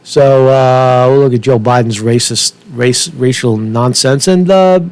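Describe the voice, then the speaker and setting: A man's voice: medium pitch, measured pace, darkish tone. A man giving casual, rambling news commentary as a host, swearing often, with slangy, repetitive and stumbling delivery. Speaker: host of his own news commentary show.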